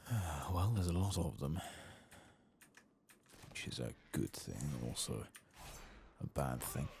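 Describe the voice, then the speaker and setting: A soft-spoken, hushed man giving unhurried, understated ASMR commentary over a video game. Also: extremely low pitch, expressive, creaky. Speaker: host of a gaming playthrough channel. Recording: faint background noise.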